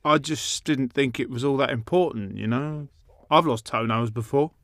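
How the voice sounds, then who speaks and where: An actor playing a dim, oblivious, unbothered boyfriend with a deadpan, literal manner in a dark comedy audio drama. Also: male voice, mid-range voice, no room sound, lightly acted. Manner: deadpan, slow-witted, literal.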